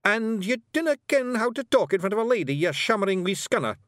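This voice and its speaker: A man's voice: sits high, big pitch swings, brisk, a bit bright, no room sound, clean studio sound, voice pushed up. A man voicing a boisterous, cheerful little fairy clansman with a Scottish accent in a storybook reading. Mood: flustered bluster. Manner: exclamatory, brash.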